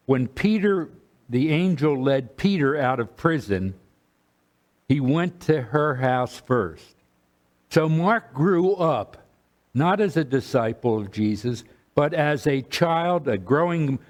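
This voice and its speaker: A man's voice: medium pitch, darkish tone. Man giving a chatty sermon. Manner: informal, restarts phrases, checks in with "okay?". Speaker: bishop preaching. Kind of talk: conversational sermon.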